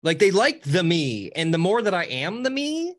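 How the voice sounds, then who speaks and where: Animated man with a high voice. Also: moderate pace. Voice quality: high voice with a cackle. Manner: animated, cheeky, rambling with restarts. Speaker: podcast guest, social media co-founder. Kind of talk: casual interview talk.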